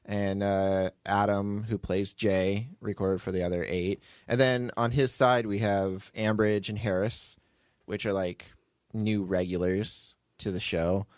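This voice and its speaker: A man's voice: on the low side, fairly even intonation, unhurried, dry recording, studio quality. A man hosting a casual behind-the-scenes podcast chat in a rambling, joking, self-deprecating way. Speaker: podcast host, writer and producer of an audio drama.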